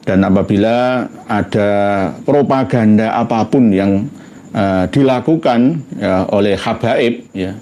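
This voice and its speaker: A man from Indonesia with a Javanese accent giving an informal religious talk in a halting, conversational way. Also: lowish voice, dark tone. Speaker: religious commentator.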